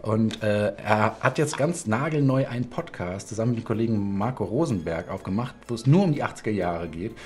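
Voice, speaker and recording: A man's voice: lowish voice, lively intonation, brisk, few pauses, a little mellow. A middle-aged man hosting a casual, chatty interview from a home studio. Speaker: talk show host. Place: home studio room indoors.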